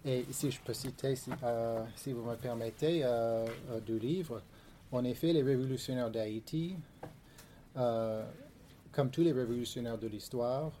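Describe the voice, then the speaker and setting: A historian whose French is not his first language, talking slowly through prepared remarks with frequent fillers and restarts. His voice is mellow and dark. A faint hum sits behind him. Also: medium pitch. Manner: hesitant, many fillers and repeated words. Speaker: historian and author, panel guest. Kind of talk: academic panel discussion, partly reading prepared text.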